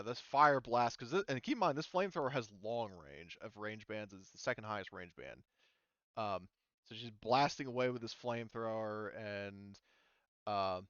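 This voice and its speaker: A man's voice: fairly low pitch, fairly bright tone, clean tone, no echo, muffled recording. A man narrating a tabletop game as game master, explaining rules casually and thinking aloud hesitantly.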